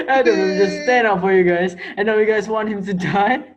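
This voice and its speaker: A man acts out a menacing male teacher who has come unhinged. His voice sits high and leaps around in pitch. He taunts his students theatrically, stretching words for effect in a reader's theater drama. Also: a bit bright, muffled recording, slight background noise, full character voice. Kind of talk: amateur reader's theater acting.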